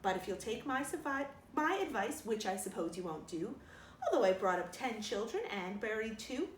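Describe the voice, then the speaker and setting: An indignant older village woman from a storybook, as a woman reader plays her, sharp-tongued and haughty. The pitch sits fairly high and swings widely with huffy emphasis. The room adds noticeable echo. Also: far from the mic. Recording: roomy sound with faint noise.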